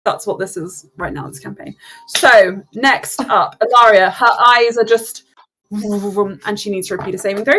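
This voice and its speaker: A young woman running a tabletop game as game master, chatty and quick to laugh, often breaking off mid-sentence. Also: medium pitch, a bit bright, husky, low-quality recording.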